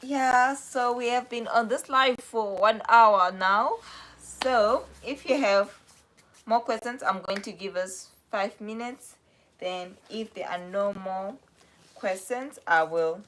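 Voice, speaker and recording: A woman's voice: medium pitch, takes it slowly, a bit bright. A young woman with a South African accent, chatting casually on a livestream and answering viewer questions in a rambling, self-correcting way. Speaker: livestream host.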